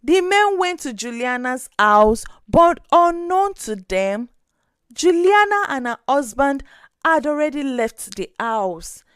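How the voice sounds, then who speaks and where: A woman narrating a scripted story in an expressive, dramatic way. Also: sits high, takes it slowly, no room sound, studio quality.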